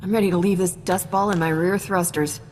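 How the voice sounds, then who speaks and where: A woman's voice: lowish voice, fairly even intonation, a little fast, a little mellow, faint music behind, close to an everyday voice. A woman playing a breezy, self-assured smuggler captain in a video game. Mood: wry, curious. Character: cocky, easygoing female smuggler captain.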